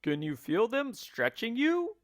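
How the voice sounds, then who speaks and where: A man's voice: very high voice, huge pitch swings, voice pushed up, exaggerated voice. Man playing a nasty, mock-sweet scheming husband. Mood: taunting. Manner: mock-sweet, gloating, drawn-out. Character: nasty, gleeful, scheming husband feigning concern. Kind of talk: storybook character voice.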